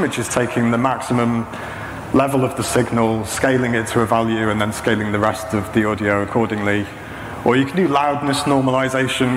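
A man speaks as a conference presenter, explaining technical steps in a measured, halting way. His middle-pitched voice rings through a reverberant hall, with clear background noise. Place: indoor talk stage with projection screen.

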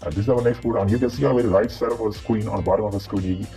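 A man with a pitched-down monster voice filter, walking through game codes and spelling each one letter by letter. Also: fairly even intonation, racing, dark tone. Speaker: gaming channel host. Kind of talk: mobile game code tutorial. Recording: voice-over with faint guitar music.

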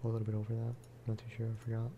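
A man giving soft-spoken ASMR game commentary in a hushed, unhurried voice that at times slips toward a whisper. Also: completely flat delivery, noisy background. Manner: unhurried, low-key, musing aloud. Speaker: gaming video creator.

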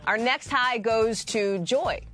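An American woman in her thirties presenting lively, conversational TV news narration with emphatic delivery, in a studio. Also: on the high side, takes it slowly. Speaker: news anchor. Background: music.

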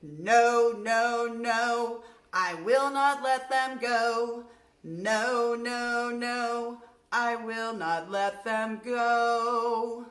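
A woman voicing a stubborn, angry king in a children's storybook song, chanting loudly and emphatically. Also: on the high side, fairly even intonation, extremely slow, faint music behind. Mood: stubborn, defiant. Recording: roomy indoor echo.

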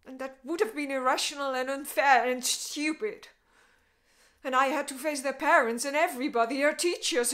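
A woman performing a stage monologue as a grieving, bitter mother confronting her ex-husband, speaking in deliberate, emotionally intense phrases. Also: on the high side, fairly bright tone.

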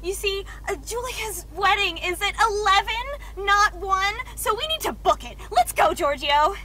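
Young woman doing a bubbly groovy 70s girl, super high voice, excitable, campy game scene. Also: a little slow, slight background noise, heavily acted. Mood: flustered, grateful. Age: young adult. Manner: excitable, breezy. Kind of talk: campy comedic game cutscene acting.

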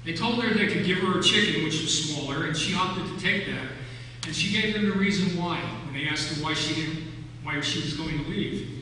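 Older man, preacher. Bright, forceful voice, measured sermon delivery, heard from far off in a reverberant church. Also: fairly high pitch. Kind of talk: sermon, telling stories from notes. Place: large church sanctuary, at the pulpit. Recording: echoey church hall from far off, hum.

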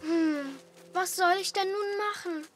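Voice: very high-pitched, unhurried, fairly bright tone. A performer playing an eager, thoughtful young schoolboy in a dubbed children's cartoon, earnest and given to thinking aloud. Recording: music bed behind the voice.

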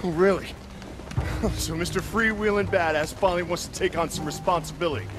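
A man's voice: sits high, a little fast, close to an everyday voice. An actor playing a stern, protective older brother and lawman, indignant and confrontational, in a video game drama. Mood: angry, sarcastic. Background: cinematic music.

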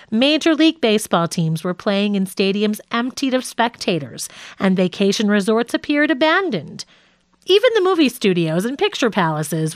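A woman reading a scripted nonfiction audiobook in a measured, articulate way. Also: mid-range voice, a bit bright, dry recording, clean studio sound. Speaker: audiobook narrator.

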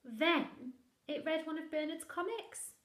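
Young lady teacher doing storytime for little kids, gentle, slow n expressive, roomy echoey sound. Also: high-pitched. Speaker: primary school teacher. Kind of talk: children's picture-book read-aloud. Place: living room indoors. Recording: roomy, echoey home recording.

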